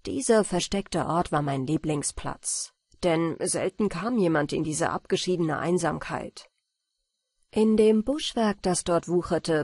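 Woman, audiobook narrator, husky soft-edged voice, dry close sound, gentle measured fairy tale reading. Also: lowish voice, no echo.

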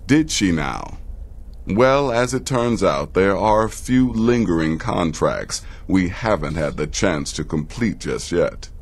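An actor playing a sardonic, aloof desert-born assassin in a video game, speaking in a measured, dry, formal way. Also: male voice, low voice, lively intonation, heavily acted. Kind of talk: video game character dialogue.

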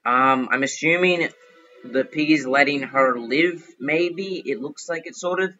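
Bright-voiced young man chatting over gameplay. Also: a little high, a little slow, highs cut off, soft background music. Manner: chatty, excitable, frets and pleads aloud. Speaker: gaming YouTuber. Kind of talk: casual gameplay commentary.

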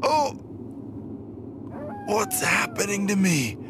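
An actor playing a confused amnesiac young man in a video game, with halting, dramatic delivery. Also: high voice, huge pitch swings, noisy background, full character voice. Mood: brooding, shaken.

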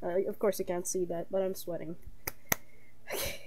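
A woman's voice: on the low side, level tone, normal speed. A young woman giving casual game commentary as she streams a horror visual novel. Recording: noisy home recording with insect-like hiss.

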